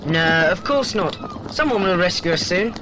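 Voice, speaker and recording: A woman's voice: lowish voice, expressive, normal speed, noisy background, close to an everyday voice. A woman playing a sensible, plucky British boy adventurer, earnest and reasoning, in a children's audio drama. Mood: reassuring.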